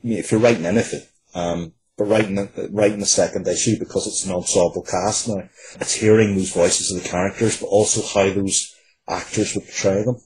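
Husky, low-voiced man talking earnestly. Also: steady tone, brisk, darkish tone, low-quality recording. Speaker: writer and interview guest. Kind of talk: casual interview talk.

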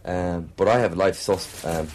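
A man's voice: low voice, measured pace, a little mellow, slight background noise. A man in his thirties talking in a dry, joking, tired way. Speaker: husband moving house. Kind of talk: casual chat.

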